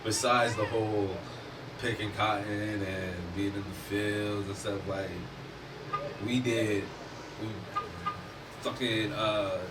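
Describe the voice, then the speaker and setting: A man's voice: on the low side, slow, pauses often. Emphatic man, distant mic, noisy room. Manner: animated, emphatic, swears freely. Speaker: podcast host. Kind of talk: casual discussion. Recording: distant mic with noticeable background noise.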